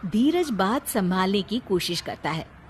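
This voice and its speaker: A woman's voice: mid-range voice, expressive, unhurried, slight background noise. A woman narrating a scripted cartoon family story in the role of storyteller.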